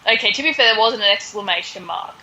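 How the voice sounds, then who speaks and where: A woman's voice: medium pitch, quick pace, pushed and bright, dull audio, slight background noise. A woman hosting a podcast, casually recapping a story in a sarcastic, exasperated way. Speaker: podcast host.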